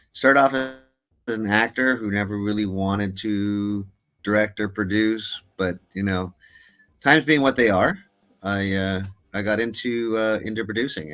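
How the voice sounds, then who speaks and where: A middle-aged man talking casually as an interview guest, pausing often with fillers and restarts. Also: lowish voice, fairly bright tone. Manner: hesitant, with many uh fillers and restarts. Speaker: guest filmmaker.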